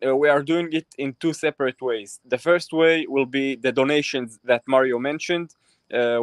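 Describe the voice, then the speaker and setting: A man from Israel, pitching his crypto project in a hesitant chat full of ums and restarts. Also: clean tone, no room sound. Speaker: crypto project team member. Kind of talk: casual livestream chat.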